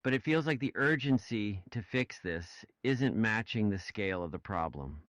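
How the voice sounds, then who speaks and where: A man's voice: fairly low pitch, animated, normal speed, a bit bright, no room sound. A man in a casual podcast discussion, speaking emphatically and in a questioning way, on a slightly muffled recording. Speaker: podcast panelist.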